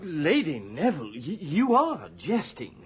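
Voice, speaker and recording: Slow, astonished aristocratic guest. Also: medium pitch, wildly swooping pitch. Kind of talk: radio drama acting. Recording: muffled radio drama with crowd behind.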